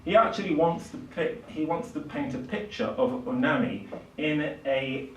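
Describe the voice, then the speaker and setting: Halting older man lecturing, distant and roomy. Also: fairly high pitch, lively intonation, a little hiss or hum. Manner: halting, hesitant delivery with frequent pauses. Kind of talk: public literary lecture. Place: small indoor lecture room with seated audience. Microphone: far camera, speaker at a lectern. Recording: roomy, distant microphone in a hall.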